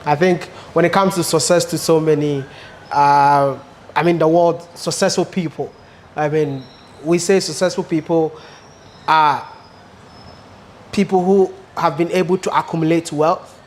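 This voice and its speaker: A man's voice: on the high side, fairly even intonation, unhurried, pauses often, a little hiss or hum. A man interviewing a guest, asking probing questions in a conversational tone.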